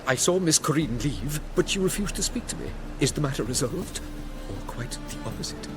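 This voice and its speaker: An actor playing a fussy, wealthy banker in a video game, formal and pompous. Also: male voice, fairly high pitch, expressive, fairly quick, over music, lightly acted. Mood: anxious, resigned. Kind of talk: video game character acting.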